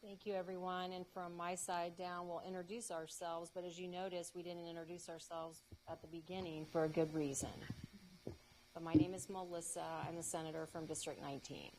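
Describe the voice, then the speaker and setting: Woman host of a formal reading; fairly low voice, deliberate, noisy recording. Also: flat delivery. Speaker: state senator, host of the reading.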